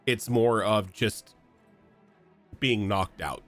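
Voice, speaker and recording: Low-voiced man narrating as game master. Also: slow pace, a bit bright, soft background music. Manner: hesitant, full of fillers and restarts. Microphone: online voice call.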